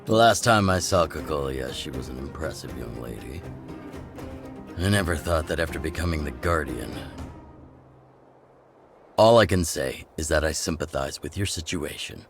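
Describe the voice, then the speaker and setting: A middle-aged man playing a measured, pragmatic, authoritative older leader of an underground resistance in a video game. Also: rumbling low, music in the background. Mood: measured, resolute. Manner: measured, authoritative, plain-spoken. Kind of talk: video game dialogue acting.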